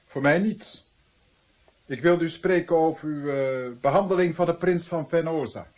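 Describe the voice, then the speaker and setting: Actor as high-voiced, sanctimonious stern friar. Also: male voice, normal speed, a little mellow, natural voice. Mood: accusing, grave. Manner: formal, accusing, sanctimonious. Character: stern, threatening Italian friar, zealous and haughty. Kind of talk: radio drama acting.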